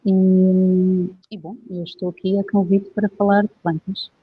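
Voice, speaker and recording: A husky woman with a European Portuguese accent talks in a reflective, halting way, often pausing on filler words. Her voice comes through a dull, lo-fi recording with a dry, close sound and no room echo. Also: fairly low pitch, flat delivery. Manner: reflective, hesitant, with frequent filler phrases. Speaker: guest herbalist. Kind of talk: casual interview conversation.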